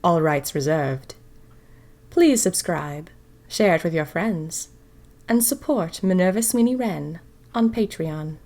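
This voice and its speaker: A woman's voice: on the low side, expressive, slow pace, darkish tone. Woman reading a scripted podcast outro. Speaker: writer and performer of fiction podcast.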